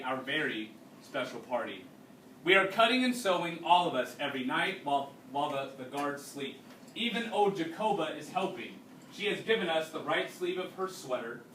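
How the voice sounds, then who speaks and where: A man reading a storybook aloud to a class as their teacher, pausing to explain and ask questions. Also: a little high, fairly bright tone. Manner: instructive, checking understanding with questions. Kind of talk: read-aloud with explanatory asides and questions to pupils. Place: indoors, classroom. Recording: roomy, distant mic, faint background noise.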